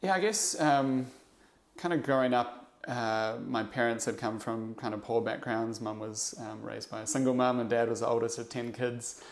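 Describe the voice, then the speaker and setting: A young man from New Zealand with a New Zealand accent, a podcast guest talking in a reflective, halting way with many fillers and restarts. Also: medium pitch, steady tone. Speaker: interview guest, investor. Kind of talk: casual podcast interview.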